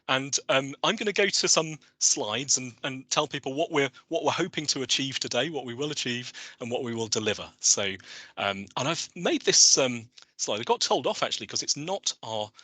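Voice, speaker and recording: A man's voice: medium pitch, no echo. A man hosting an online coaching webinar, talking with enthusiasm and often restarting his phrases. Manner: enthusiastic, animated, with frequent restarts. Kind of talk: presenting a webinar with slides. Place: online video call.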